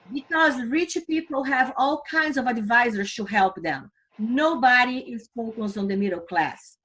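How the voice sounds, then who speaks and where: A woman's voice: a little high, fairly bright tone, rough recording. A middle-aged woman from Brazil with a Brazilian accent, giving an earnest, upbeat recruitment presentation and asking her audience questions. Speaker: recruiting presenter for a financial firm.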